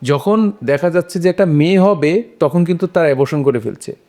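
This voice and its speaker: Higher-pitched man talking through his views. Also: fairly quick, mellow. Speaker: discussion panelist. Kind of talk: casual discussion of a short story.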